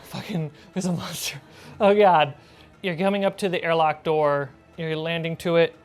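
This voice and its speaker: A woman's voice: low voice, steady tone, normal speed, a little mellow. A woman narrating a tabletop horror game as game master in an informal, sweary way. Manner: profane, informal.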